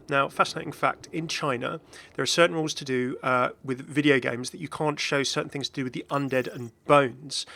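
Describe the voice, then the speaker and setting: A man's voice: mid-range voice, gravelly, bright, forceful tone. A man hosting a gaming video with casual, chatty commentary that drifts into hesitant asides. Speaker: video host, game content creator.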